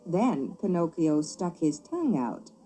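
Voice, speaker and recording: A woman reading a children's story as scripted narration, with an expressive, theatrical delivery. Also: sits low, unhurried, faint music behind, low-quality recording.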